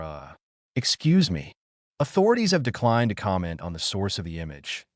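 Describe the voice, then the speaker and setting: A man's voice: lowish voice, no room sound, studio quality, natural voice. A man playing a male TV news anchor in a drama, delivering a scripted report in a formal newsreader manner. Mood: flustered. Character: male TV news anchor, composed then alarmed.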